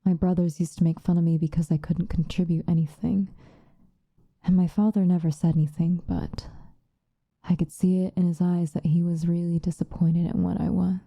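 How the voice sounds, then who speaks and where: Woman playing a dark, hushed, seductive vampire. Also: fairly low pitch, level tone, no room sound. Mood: wistful, bitter. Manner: soft, breathy, drifting into whispers, unhurried. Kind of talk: ASMR roleplay, intimate confessional monologue. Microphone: close microphone, dry.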